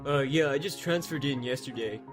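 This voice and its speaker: A man's voice: medium pitch, a little fast, over music. A man reading a visual novel aloud, cutting in with sarcastic, sweary jokes. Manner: sarcastic, swears freely, breaks off to joke. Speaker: let's player.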